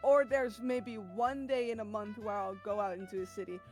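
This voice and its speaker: A woman's voice: mid-range voice, fairly even intonation, moderate pace, darkish tone, soft background music. A young woman guesting on a casual stream, rambling and giggling as she corrects herself. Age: young adult.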